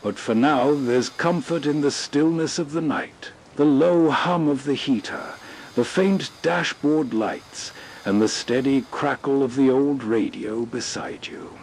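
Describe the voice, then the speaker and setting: A man narrating as host in a scripted, unhurried late-night radio style. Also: mid-range voice, a little mellow. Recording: faint background noise.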